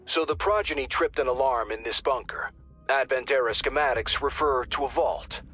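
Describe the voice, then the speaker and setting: A bright-toned comms officer. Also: male voice, medium pitch, normal speed, slightly rough. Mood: informal. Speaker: field support officer on comms. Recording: game audio with faint music.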